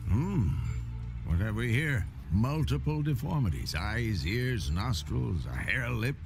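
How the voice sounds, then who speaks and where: A man's voice: mid-range voice, big pitch swings, slow pace, creaky, over music, heavily acted. An actor playing an old fatherly mentor in a video game, wry and grumbling, fussy about manners. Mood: wry, welcoming. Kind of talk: video game cutscene acting.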